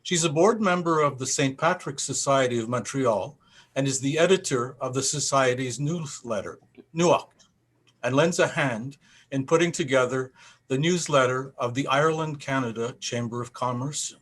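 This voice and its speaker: Male interviewer and event host, mid-pitched. Formal, courteous, scripted-sounding delivery. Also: moderate pace, a bit bright. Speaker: interviewer, festival president. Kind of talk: prepared introduction and interview questions.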